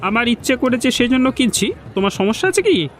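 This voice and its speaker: A man's voice: extremely high pitch, sing-song, brisk. A man playing a bold, cheeky stranger visiting a village in a cartoon folk tale, with a confident manner. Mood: defiant. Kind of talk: Bengali animated folk-tale dialogue.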